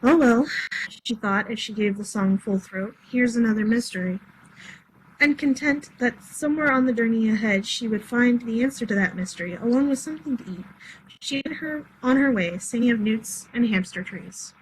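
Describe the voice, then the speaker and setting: A woman reading a novel aloud in an expressive voice, then chatting casually with laughs and some swearing. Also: on the high side, fairly quick, slight background noise, poor audio. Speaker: reader.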